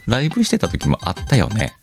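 A man's voice: fairly low pitch, wildly swooping pitch, quick pace. A man hosting a casual show, rambling as he chats and reads listener letters, with music behind him. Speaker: host.

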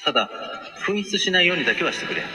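Man acting an earnest, expressive gunman. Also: sits high, rapid-fire. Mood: pleading. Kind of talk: Japanese voice drama acting. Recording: echoey hall reverb, clear music.

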